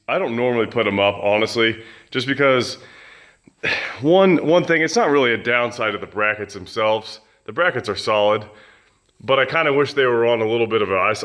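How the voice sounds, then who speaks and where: A man with a loud voice, casually reviewing a fan in his home gym, rambling with lots of fillers and restarts. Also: medium pitch. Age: adult with children. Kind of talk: casual product review vlog.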